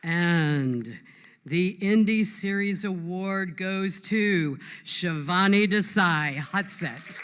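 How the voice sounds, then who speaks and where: A woman's voice: lowish voice, extremely slow, fairly bright tone, slight background noise. A woman presenting at a live awards ceremony.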